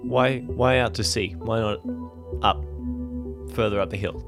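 A man playing an insistent, halting nephew and father who keeps questioning and pleading in an improvised audio drama. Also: lowish voice, steady tone, gravelly, music in the background. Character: pleading ghost nephew, a persistent worried father.

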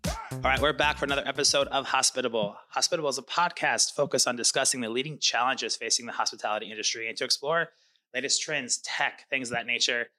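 A man's voice: medium pitch, quick pace, sharp tone, faint music behind. A man hosting a podcast, introducing his guest in an upbeat, chatty way with plenty of fillers. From not from New York. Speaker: podcast host. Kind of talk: casual interview.

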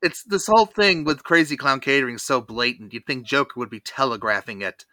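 A podcast host telling a cartoon episode recap in a lively, theatrical storytelling manner. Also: medium pitch, fairly quick, no echo.

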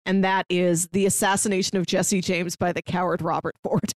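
A woman's voice: fairly low pitch, level tone, moderate pace, dry recording, clean studio sound. A woman co-hosting a podcast, chatting casually about movies in articulate, wordy phrases. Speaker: podcast co-host. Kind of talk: casual movie review chat.

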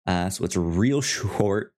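A man hosting a casual review podcast, speaking in a halting way with stammered, repeated word starts. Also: low-pitched, darkish tone. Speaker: podcast host.

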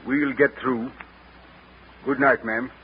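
An actor playing a stern, judgmental gentleman in a 1940s radio drama, grave and unforgiving. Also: medium pitch, steady tone, takes it slowly, lightly acted. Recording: muffled old radio with loud music.

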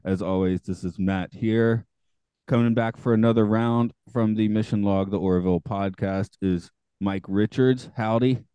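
Gravelly, mellow-voiced podcast host man. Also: on the low side, fairly even intonation, normal speed, no echo. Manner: chatty, self-deprecating, laughs often. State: morning gravel in voice. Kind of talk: casual podcast chat.

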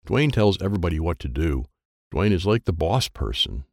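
Low-voiced man narrating a children's story, gravelly edge. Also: big pitch swings, a little fast, no echo, studio quality. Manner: simple, short, childlike phrasing. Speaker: audiobook narrator.

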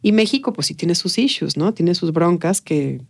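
A woman speaks Spanish with a Mexican accent in a relaxed interview conversation. Her voice sits a little low, and she talks expressively, with slangy phrasing and frequent tag questions. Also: moderate pace, gravelly. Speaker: interview guest.

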